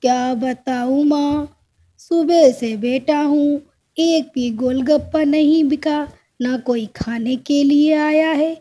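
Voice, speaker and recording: A weary male crow from a cartoon, voiced by a woman. He talks slowly in a soft, dark-toned voice, plaintive and resigned to being henpecked. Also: high-pitched. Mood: dejected. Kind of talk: cartoon story dialogue.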